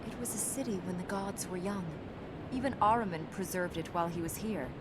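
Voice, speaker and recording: A woman playing a solemn, resolute young princess in a video game, speaking in an earnest, measured, matter-of-fact way. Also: close to an everyday voice. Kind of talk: natural video game character acting. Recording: game capture with rumbling background noise.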